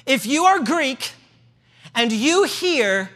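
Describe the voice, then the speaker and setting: A man's voice: extremely high pitch, big pitch swings, slow, fairly bright tone. A man with a Midwestern accent giving a casual history lecture with slides, chatty and joking, with fillers. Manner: conversational, joking asides, many fillers. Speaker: church history speaker. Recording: hall reverb.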